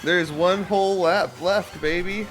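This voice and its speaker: A man's voice: sits high, steady tone, measured pace, fairly bright tone, soft background music. A young man wisecracking and swearing casually while racing with friends in a game. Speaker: gamer streaming with friends. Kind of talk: casual gaming banter.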